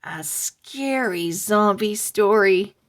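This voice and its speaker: A woman voicing an excited, playful little boy. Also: mid-range voice, takes it slowly.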